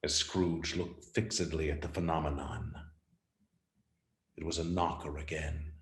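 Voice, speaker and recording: A man narrating a radio play as scripted dramatic storytelling, with theatrical, deliberate emphasis. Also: extremely low pitch, steady tone. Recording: roomy, echoing sound.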